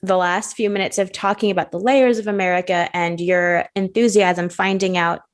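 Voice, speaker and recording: A woman's voice: lowish voice, fairly even intonation, moderate pace. A woman hosting a casual conversational interview, speaking in an affirming, encouraging way. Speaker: podcast host, interviewer.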